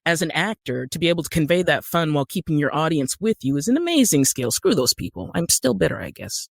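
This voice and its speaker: An American man speaking conversationally as an interview guest, telling stories in an animated way. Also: fairly high pitch, fast, vocal fry, no echo, studio quality. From Utah, United States. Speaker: podcast guest, actor and screenwriter.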